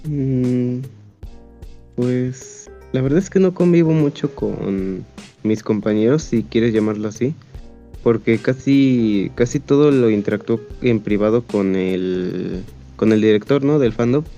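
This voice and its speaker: Mellow young Mexican man, muffled audio. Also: medium pitch, measured pace, faint music behind. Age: young adult. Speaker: interview guest, amateur fandub voice actor. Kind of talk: casual interview chat.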